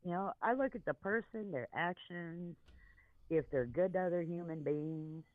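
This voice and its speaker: A man's voice: a little high, moderate pace, dry recording, phone-quality sound. A man with an American accent chatting casually as a participant in a talk show. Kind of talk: casual chat.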